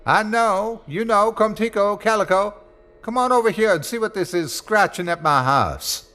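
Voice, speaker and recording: A man playing a lone old backwoods man, calling out in high, yelping dialect. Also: normal speed, bright, forceful tone. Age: older. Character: old backwoods man living alone, easily scared.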